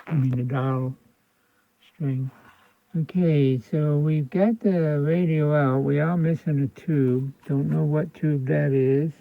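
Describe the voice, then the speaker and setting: A man's voice: a little high, steady tone, takes it slowly, gravelly, no echo. A man with an American accent giving unscripted hands-on repair commentary, thinking aloud in a folksy, colloquial way. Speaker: host of a radio repair video. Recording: narrow phone-like sound.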